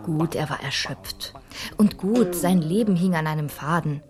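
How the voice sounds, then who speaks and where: Eine Schauspielerin erzählt als Sprecherin eines Hörspiels ein komisches Märchen nach Manuskript. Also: female voice, low voice, a little slow, a crowd in the background. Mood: narrating. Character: female storyteller of a comic fairy tale. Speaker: narrator. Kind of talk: scripted radio play narration. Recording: clear background noise with clicks.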